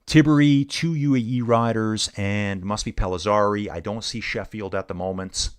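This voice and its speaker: A man's voice: on the low side, moderate pace, smooth. A middle-aged man casually commenting on a bike race live and chatting with viewers, laid-back and saying "dude" a lot. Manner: laid-back, says "dude" often, reads chat aloud.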